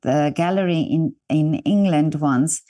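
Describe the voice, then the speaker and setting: Mellow South African-accented woman host. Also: deep voice, unhurried. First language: Afrikaans. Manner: warm, encouraging, rambling with restarts. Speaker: interview host. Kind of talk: interview conversation.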